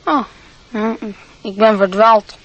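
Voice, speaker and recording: A woman's voice: medium pitch, slow, a bit bright, muffled recording. An actress playing a lonely, dreamy, earnest young boy in a radio play. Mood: shy, wistful.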